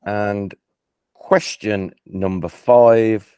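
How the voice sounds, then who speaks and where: A man's voice: fairly low pitch, fairly even intonation, very slow, mellow, smooth, no echo, studio quality. Chatty Brummie man hosting a quiz. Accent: Birmingham English. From England. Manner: chatty, self-deprecating, stumbles and restarts. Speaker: quiz host. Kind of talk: casual online quiz hosting.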